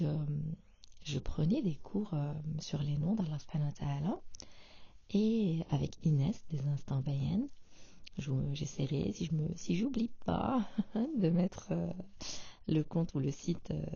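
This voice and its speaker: Woman podcast host, dark husky voice, soft hesitant confiding delivery, dry dull recording. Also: sits low, measured pace, dry recording. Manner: soft, half-whispered and hesitant, with many fillers. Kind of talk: intimate personal monologue.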